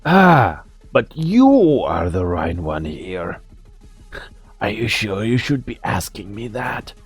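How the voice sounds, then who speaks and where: Man acting a bitter, husky old villain scientist. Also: fairly low pitch, big pitch swings, faint music behind, exaggerated voice. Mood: taunting. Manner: theatrical, taunting, deliberate. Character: mocking, bitter old male scientist, weary and menacing. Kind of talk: fan-dub game drama acting.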